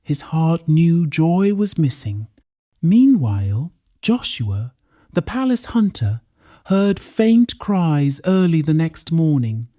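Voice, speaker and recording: Soft dark-voiced lady telling a folktale, slow n dramatic, really dry close sound. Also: low voice, sing-song, no room sound. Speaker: storyteller. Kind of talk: scripted dramatic folktale narration.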